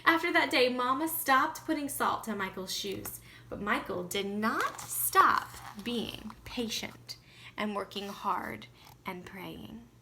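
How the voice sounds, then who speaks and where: A woman reads a children's story aloud like a teacher, slowly and deliberately. Her voice is high and bright, with very lively pitch and emphatic phrasing, over faint background noise. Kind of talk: expressive storybook read-aloud with asides to children.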